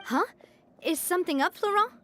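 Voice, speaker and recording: A woman's voice: very high-pitched, expressive, normal speed, a bit bright. An actress playing a caring, resolute young female leader in a video game. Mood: alarmed. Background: faint game music.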